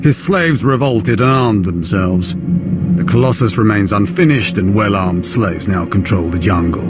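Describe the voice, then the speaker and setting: A man voices a sadistic dark lord in a game. He is low-pitched, cold and smug, savoring his cruelty in formal speech, with music behind him. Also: measured pace, natural voice. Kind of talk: video game cutscene dialogue.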